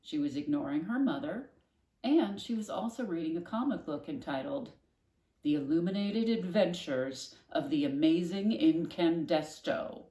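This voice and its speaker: A woman reading a children's book aloud, gently explaining things to young listeners between passages. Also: on the low side, lively intonation, moderate pace. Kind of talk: read-aloud storytelling with casual asides. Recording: echoey room.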